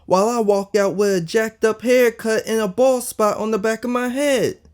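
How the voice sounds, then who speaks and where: A man's voice: sits high, fairly even intonation, moderate pace, smooth, pitched above the performer's own voice, close to an everyday voice. An indignant young boy, played by a man, complains in a raised, whiny voice. He sounds accusing and put out, as dialogue in an audiobook memoir. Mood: indignant, sarcastic. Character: upset, indignant young boy, the narrator as child.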